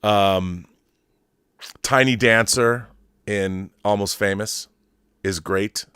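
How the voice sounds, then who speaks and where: Male livestream host, middle-aged, lowish voice, casual and opinionated fan talk with halting restarts, close dry sound. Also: a bit bright, clean tone, no room sound. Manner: halting, rambling, repeats words while thinking. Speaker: host of a film fandom livestream. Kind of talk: casual commentary, answering viewer messages.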